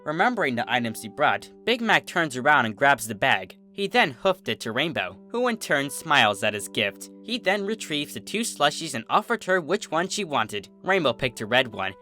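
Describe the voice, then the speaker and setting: Male narrator, scripted storytelling, animated pitch, crisp bright tone, faint background music. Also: normal speed, few pauses. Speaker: narrator of fan fiction readings.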